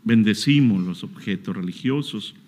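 A man's voice: fairly low pitch, moderate pace, darkish tone. A man priest leading prayers and blessings at an outdoor shrine Mass, in a solemn recited cadence. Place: outdoor shrine chapel altar.

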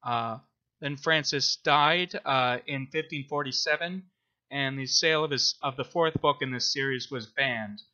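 A man with a strikingly bright, sharp voice of medium pitch talks through a book discussion as co-host. His delivery is halting, broken by many ums and uhs. The recording is a little lo-fi. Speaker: podcast co-host.

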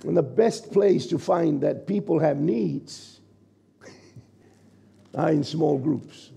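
A man in his sixties, a pastor from New Zealand, preaching a sermon from a church stage with a croaky, gravelly voice and a conversational manner. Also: a little high, very slow, warm tone. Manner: conversational preaching, checks in with the congregation. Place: church stage, large indoor hall. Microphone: stage mic at a lectern, far camera.

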